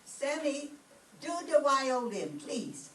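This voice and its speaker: Lady acting a fussy yiddish accent mama, old radio comedy style, slow talker, echoey room. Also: high voice, sing-song, exaggerated voice. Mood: fussing, affectionate. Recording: roomy stage pickup, distant mic, faint noise.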